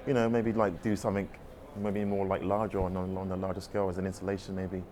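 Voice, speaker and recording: Fast-talking deep-voiced man. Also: level tone, background noise. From London, England. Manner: rambling, hedging with "sort of" and "kind of". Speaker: artist. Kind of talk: casual interview answers. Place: art exhibition space.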